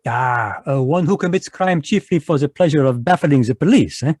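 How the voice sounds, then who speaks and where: An actor playing a fussy, courtly Belgian detective, in a live radio drama over a video call. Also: male voice, fairly high pitch, expressive, moderate pace, darkish tone, husky. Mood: smug, courtly. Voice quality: precise, courtly French-inflected delivery. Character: fussy male Belgian detective, polite and sly.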